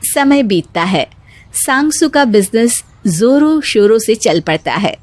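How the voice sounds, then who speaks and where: A woman storyteller reads a scripted moral tale. Her voice sits fairly high and she narrates at a slow pace. The recording is close and dry. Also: animated, no room sound, faint music behind.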